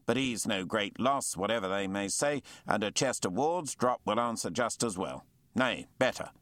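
Narrator man putting on a cranky old navy lieutenant, blustery and higher, dry mic. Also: lively intonation, moderate pace, fairly bright tone, no echo. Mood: indignant. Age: 50s. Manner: blustering, indignant, old-fashioned.

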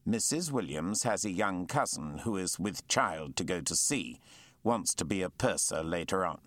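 A man's voice: sits low, lively intonation, moderate pace, fairly bright tone, no echo. A man voicing an eager, ingratiating shipping agent who speaks politely.

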